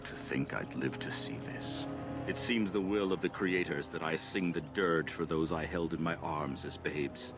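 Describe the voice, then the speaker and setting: An actor playing a grave, mournful old elven storyteller in a fantasy game, solemn and poetic. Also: male voice, very deep voice, normal speed, over music. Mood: grave, sorrowful. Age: older.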